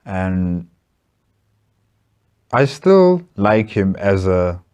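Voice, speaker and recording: A man's voice: low-pitched, big pitch swings, extremely slow, a little mellow, smooth, muffled recording. A man hosting a reaction video, giving casual commentary and thinking aloud in a hesitant, reflective way. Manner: hesitant, reflective, repeating words.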